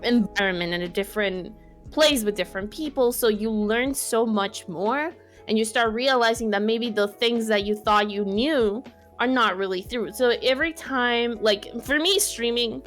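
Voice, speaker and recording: A woman in her twenties from Mexico with a Mexican Spanish accent, a podcast guest speaking casually in English, her second language, pausing and restarting to find her words. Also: a little high, faint music behind. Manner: thoughtful, searching for words, many restarts. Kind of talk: casual conversation.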